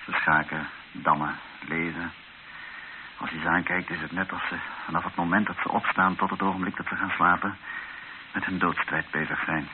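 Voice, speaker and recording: Male actor as deferential aide to a bishop, deep voice, sober, measured, radio drama, faint hiss. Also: fairly even intonation, close to an everyday voice. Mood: bleak. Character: respectful, fearful, sombre aide to a missionary bishop. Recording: hissy, dull old radio recording.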